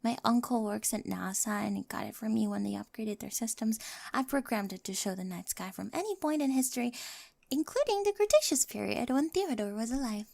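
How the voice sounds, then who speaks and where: Woman as nerdy high school girl, smitten, awkward, higher-pitched, fry-edged, ASMR roleplay, close dry mic. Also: expressive, brisk, no room sound. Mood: hopeful, nervous. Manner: awkward, eager, rambling, soft giggles and whispery asides. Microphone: close microphone, dry.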